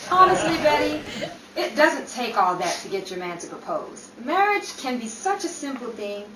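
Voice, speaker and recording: Roomy, distant sound. Actress playing sharp-tongued vengeful wife, high, hugely animated, radio comedy. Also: female voice, normal speed. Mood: chiding. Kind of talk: old-time radio comedy acting. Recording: roomy, distant, noisy recording.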